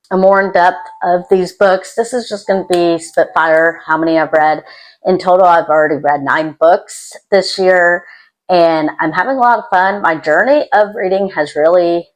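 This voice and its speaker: A woman's voice: fairly low pitch, low-quality recording. A woman talking casually to camera as a YouTube host, in an introverted, self-deprecating and rambling way. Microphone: external microphone.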